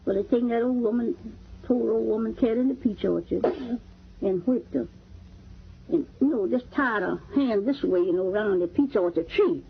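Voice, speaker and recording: A woman's voice: fairly high pitch, normal speed, creaky, phone-quality sound, a little hiss or hum. A woman in her nineties with a Texas accent, recounting memories in a folksy, repetitive way on an old archival interview recording. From Texas, United States. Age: 90s. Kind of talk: oral history interview.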